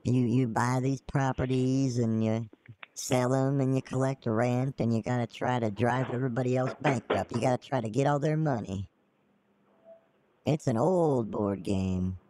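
A man's voice: mid-range voice, fairly even intonation, normal speed, dark tone. A middle-aged man on a live-streamed scam call, folksy and feigning ignorance as a naive mark. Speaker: scambaiter playing a naive mark.

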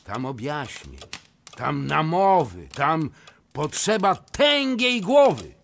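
An actor playing a blustering, hot-tempered old nobleman in a theatrical verse comedy, muttering and then exclaiming loudly, with a gravelly voice. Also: on the high side, big pitch swings, takes it slowly, full character voice. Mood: stubborn, commanding. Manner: exclamatory, swinging from muttering to shouting.

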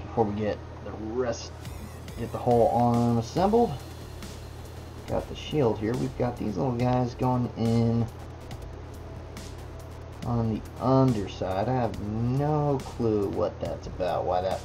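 Unhurried male kit builder at a desk, chatty and relaxed, music underneath. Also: medium pitch, gravelly, poor audio. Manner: relaxed, talks to the parts as he works. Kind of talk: casual build tutorial. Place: indoor workbench. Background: background music.

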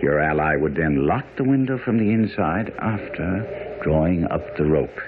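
A dull, slightly hissy radio recording of a brisk master detective in a mystery play. His low voice ranges widely as he lectures with precise confidence. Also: expressive. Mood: brisk, instructive. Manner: didactic, precise, commanding. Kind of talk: old-time radio drama acting. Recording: muffled, noisy radio broadcast.

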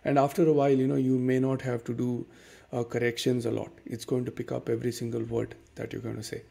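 A man with an Indian accent talks through a screen tutorial at an easy pace, padding his steps with "okay" and "uh". His voice is mellow and dark with a gravelly edge. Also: medium pitch, quick pace. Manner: relaxed, many fillers and "okay"s, explains step by step. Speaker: tutorial host. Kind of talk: casual screen-recorded software tutorial. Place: dim room at home.

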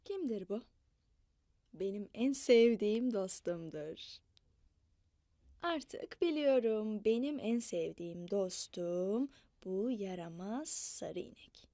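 A woman's voice: medium pitch, expressive, slow pace, dark tone, no room sound. A woman voicing a cheerful entertainer who coaxes children in a playful, sing-song way and moos at them. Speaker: children's show storyteller. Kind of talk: animated dubbed children's narration.

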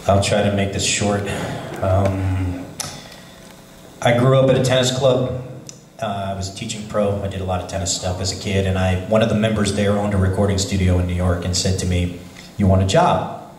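A man talking at a convention panel, telling his career story in a chatty, animated way. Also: sits low, moderate pace, a little hiss or hum. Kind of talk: casual panel talk. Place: large convention hall. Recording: echoey hall, voice from far.

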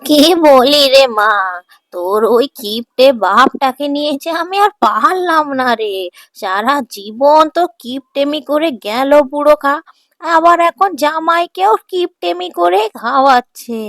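A woman's voice: high voice, measured pace, fairly bright tone, no room sound, clean studio sound. A woman voicing an exasperated, scolding older village wife and mother in a cartoon folk tale, with a dramatic, nagging manner. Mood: complaining. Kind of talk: Bengali cartoon fairy-tale dialogue.

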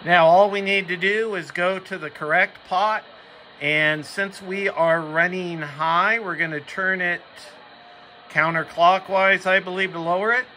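A man with an American accent narrates a casual hobby how-to at home. His voice sits a little high and has a bright, forward edge. He talks plainly, as the host of a homemade video. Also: fairly even intonation, measured pace, slight background noise. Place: room at home.

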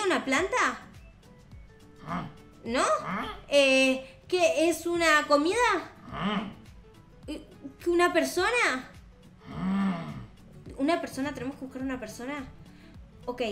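Mujer joven rioplatense de voz muy aguda. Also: female voice, sits high, a bit bright. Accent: Rioplatense Spanish. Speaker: gaming video host. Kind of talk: improvised in-character gameplay roleplay. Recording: roomy echo, music behind. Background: background music.

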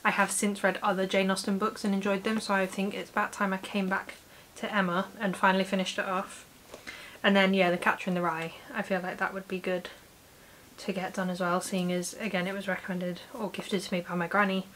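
A faint bed of hiss sits under a British woman's voice. She is in her late twenties and sits at a medium pitch. She talks informally to camera in a home room, like someone running a book channel. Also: flat delivery, fairly quick, fairly bright tone, low-quality recording. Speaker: book vlogger. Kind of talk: casual chat to camera. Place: small home room with bookshelves. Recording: clear background hiss.

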